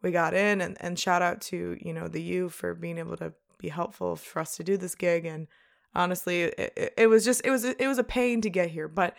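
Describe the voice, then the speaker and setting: A young woman with a low, gravelly voice co-hosts a casual podcast. She talks in a chatty, animated way and laughs often. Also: a little fast. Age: young adult. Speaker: podcast co-host. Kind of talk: casual chat.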